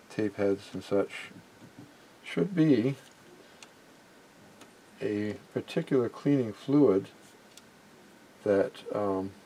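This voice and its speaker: Older-ish guy w a dark mellow voice, kinda hesitant, talking thru a demo as he goes, bit of background noise. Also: lowish voice, low-quality recording. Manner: hesitant, musing, often trailing off mid-thought. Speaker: vintage electronics hobbyist demonstrating equipment. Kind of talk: unscripted hands-on demonstration, thinking aloud. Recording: home recording with background noise.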